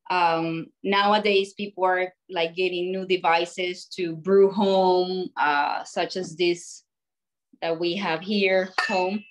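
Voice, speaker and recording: Woman presenting on a video call. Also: fairly low pitch. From Colombia. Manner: relaxed, with frequent "uh" and "like" fillers. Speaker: guest speaker, coffee roaster.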